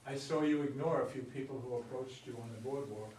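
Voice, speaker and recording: A man's voice: medium pitch, steady tone, a little fast, darkish tone, close to an everyday voice. An actor in a staged play reading, playing an earnest, inquisitive married man who speaks plainly and loves baseball. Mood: curious. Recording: echoey room, distant mic, background noise.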